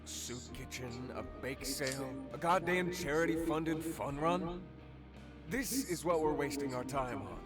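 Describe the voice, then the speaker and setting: A man's voice: on the high side, expressive, unhurried. An actor playing a jaded, sardonic young male superhero in a visual novel, with a dry, grumbling, sarcastic delivery. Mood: jaded, bitter. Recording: music playing loudly behind the voice.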